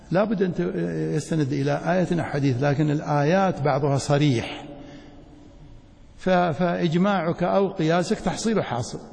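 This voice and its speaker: A Saudi man lectures as a sheikh in a large, reverberant hall. His voice is husky and creaky, and he teaches didactically, putting short checking questions to his students. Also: fairly high pitch, darkish tone. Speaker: Islamic studies teacher, sheikh. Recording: echoey hall with long reverberation.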